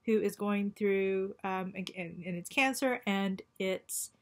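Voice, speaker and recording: A woman in her forties records a personal video diary at a medium pitch. She talks in a halting, reflective way, stopping often to restart her thoughts. She was born in Japan and grew up in Canada. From born in Japan, raised in Canada. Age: 40s. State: going through chemotherapy. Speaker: vlogger.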